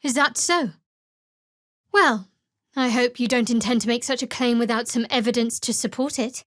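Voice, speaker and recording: A young female cleric of a dark goddess , acted by a woman, speaks in a fairly high voice. She is guarded and wry, with a cool, sardonic edge. It is scripted fantasy game dialogue, recorded very dry with no room sound. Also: normal speed, no echo, natural voice. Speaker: video game character.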